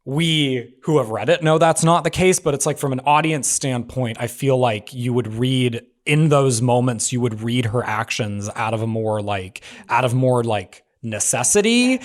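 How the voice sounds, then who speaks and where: A man in a casual panel discussion, talking emphatically in halting phrases peppered with "like". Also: mid-range voice.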